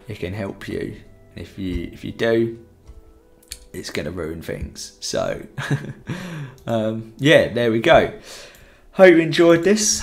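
A young man with a headset mic, giving a rambling, chatty photography tutorial in a room at home. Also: mid-range voice. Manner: rambling, chatty, many fillers. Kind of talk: casual live-stream tutorial.

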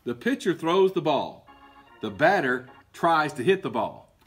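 A middle-aged man reading a picture book aloud to children as a coach, explaining in a deliberate, emphatic, instructive way. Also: on the high side, big pitch swings, fairly bright tone, breathy edge, soft background music. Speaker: baseball coach and PE teacher. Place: indoor school room with book shelves.

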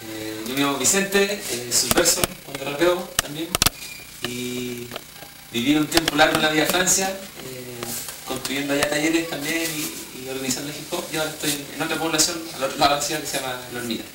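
A young man, a rapper, introducing himself in a hesitant, halting way. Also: fairly high pitch, recorded from a distance. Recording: echoey hissy camcorder tape from afar.

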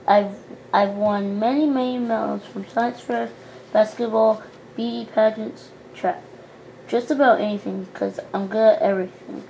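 A woman's voice: mid-range voice, steady tone, measured pace, creaky, warm tone, slight background noise, rough recording. A young woman voicing a prim, churchy teenage girl doll who talks in an earnest, boastful way. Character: prim pious teen girl doll, goody-two-shoes.

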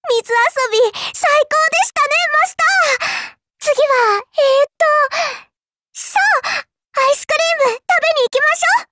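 Perky, very high-pitched smug little girl. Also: moderate pace, heavy background noise. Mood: happy, eager. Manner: perky, teasing, polite speech with cutesy exclamations. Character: cute mischievous young girl in a summer outfit, playfully smug. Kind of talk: Japanese anime mobile game voice lines.

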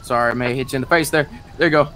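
A man's voice: mid-range voice, rapid-fire, bright, forceful tone, a little hiss or hum. A man playing a police officer in improvised online game role-play, talking casually.

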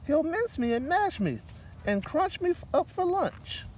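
A terrified little storybook mother mouse, played by a man, pleads in high squeaks. The pitch leaps around frantically, picked up from a distance with faint noise behind. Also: big pitch swings, unhurried, heavily acted. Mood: panicked, pleading. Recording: distant microphone, light outdoor noise.

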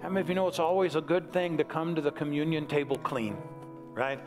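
A man's voice: a little high, fairly even intonation, quick pace, over music. An American man in his sixties preaching as a pastor in a conversational, folksy way, with short repeated phrases. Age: 60s. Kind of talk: conversational sermon and altar call. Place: large church sanctuary, on stage before congregation.

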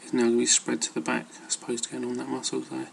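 Flat, gravelly man rambling casually. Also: mid-range voice, very fast, slight background noise. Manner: hesitant, loosely worded. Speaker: amateur fitness vlogger. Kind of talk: casual rambling advice talk.

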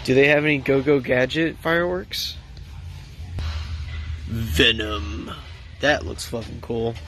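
Slow-talking joking young man shopping. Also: medium pitch, a bit bright, slight background noise. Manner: wisecracking, teasing, laughs often. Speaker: vlogger filming. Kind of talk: casual joking commentary. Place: large indoor fireworks store. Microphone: handheld phone camera.

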